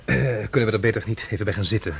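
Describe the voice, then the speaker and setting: An actor playing an amiable, inquisitive academic delegate in conversational radio play dialogue. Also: male voice, fairly low pitch, rapid-fire, breathy edge. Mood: polite.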